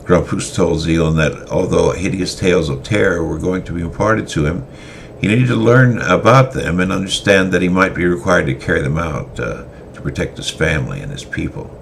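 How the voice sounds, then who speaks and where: Male storyteller, semi-dramatic reading, halting delivery, very low gravelly voice. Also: slight background noise. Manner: halting, with fillers and restarts.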